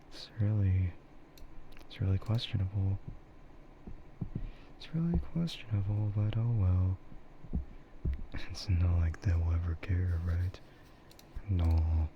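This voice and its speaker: A man streaming soft, breathy ASMR chat, hesitant and unhurried, often dropping into a whisper. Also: low-pitched. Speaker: streamer, VTuber. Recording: muffled, lo-fi, clearly noisy.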